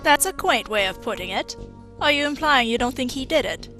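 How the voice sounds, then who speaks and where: Casting: woman as embittered female inventor, highish forceful voice, biting delivery, game fan dub. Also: expressive, brisk, faint music behind. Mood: cool, probing. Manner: mocking, brusque, biting. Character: bitter, sharp-tongued grieving female robot engineer.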